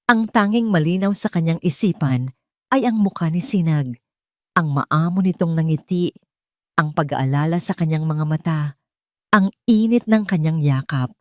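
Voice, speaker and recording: A woman with a low voice narrates a dramatic love story. Her phrasing is deliberate and solemn, broken by dramatic pauses. The sound is very dry, with no room around it. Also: dry recording.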